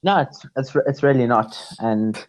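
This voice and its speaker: A teenage South African man, a podcast guest, speaking casually with deadpan self-mocking jokes over a remote call. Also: medium pitch, normal speed, a crowd in the background, rough recording. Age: teens.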